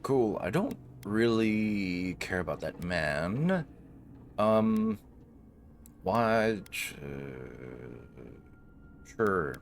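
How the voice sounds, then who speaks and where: A man's voice: low voice, sing-song, drawn-out words, long pauses, slight background noise. A man giving casual, sardonic, rambling gameplay commentary, with some swearing. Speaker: gaming video host.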